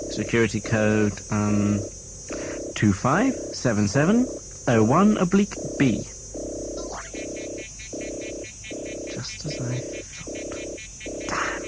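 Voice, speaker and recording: A man's voice: medium pitch, expressive, takes it slowly, long pauses. Man acting a shady scheming traveller, noisy recording. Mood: brisk, intent. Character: shady scheming male passenger, prying and bossy. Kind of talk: audio drama acting. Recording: noisy hobby cassette recording.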